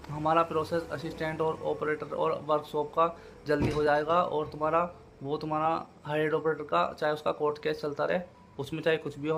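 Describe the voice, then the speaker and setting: A man's voice: a little high, fairly bright tone, slight background noise. A man giving an informal explainer to viewers as a channel host, repeating his points with familiar check-in tags. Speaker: YouTube host giving job exam updates.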